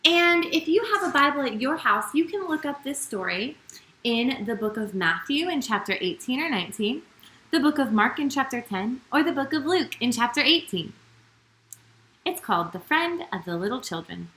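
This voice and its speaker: A woman pastor hosting storytime for young children, talking and reading aloud in an animated, sing-song manner straight to her listeners. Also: sits high, moderate pace, echoey room, rough recording.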